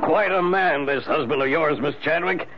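An actor playing a hearty, folksy senator who flatters at leisure in a 1970s radio drama. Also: male voice, on the high side, natural voice. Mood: genial. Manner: unhurried, hearty. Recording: dull old radio, faint music behind.

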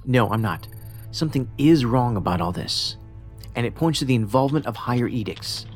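An actor playing a pedantic, socially awkward federal investigator in a science fiction audio drama, speaking precisely and formally with a detached, musing manner. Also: male voice, medium pitch, animated, fairly quick, close to an everyday voice. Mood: analytical, intent. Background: music under the voice.

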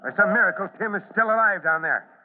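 Man acting a commanding fire chief, high-pitched, old radio. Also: fast, narrow, tinny audio, natural voice. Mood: grave, firm. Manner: urgent, authoritative, giving orders. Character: commanding, cautious male fire chief at a rescue scene. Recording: thin, noisy old radio recording.

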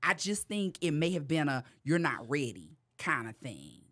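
A woman comedian telling stories in a casual interview. She laughs often, fills her talk with "you know what I mean", and jumps into high voices when she quotes herself. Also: sing-song, normal speed, fairly bright tone. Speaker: comedian guest.

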